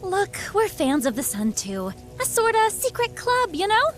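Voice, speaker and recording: Game rebel boss: very high, lively swings, sarcastic, teasing, playful. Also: female voice, measured pace, soft background music, heavily acted. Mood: sarcastic, theatrical. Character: sardonic, playful woman leader of secret rebel wardens. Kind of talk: theatrical video game acting.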